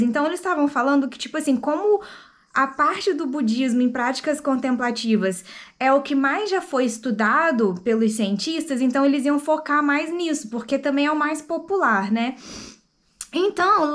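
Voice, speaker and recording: A woman chatting to the camera in a casual book review and explaining things to her viewers. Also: sits high. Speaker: book vlogger.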